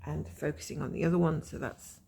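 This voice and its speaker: A woman with a croaky voice from a cold, chatting casually and rambling as she shows her cross-stitch progress from home. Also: deep voice, fairly even intonation, brisk, dark tone. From Scotland. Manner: rambling, chatty, self-mocking.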